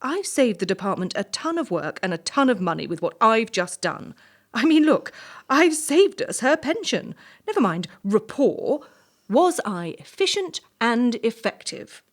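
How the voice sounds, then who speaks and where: A woman playing a ruthless, entitled young assessor in a stage play, brisk, condescending and coolly domineering under a veneer of charm. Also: a little high, very animated, full character voice. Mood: defiant, scornful.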